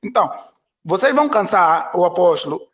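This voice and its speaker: A man's voice: high-pitched, very animated, brisk. A man with an Angolan Portuguese accent, a pastor co-hosting a live Q&A, talking earnestly with many restarts. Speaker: pastor, co-host. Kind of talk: live Q&A chat. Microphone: video call.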